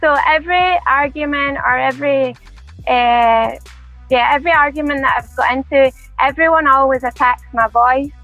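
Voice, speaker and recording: A woman with a soft, quiet, sweet-sounding voice, giving a casual interview answer. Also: high-pitched, normal speed, muffled recording, faint music behind. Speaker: professional fighter.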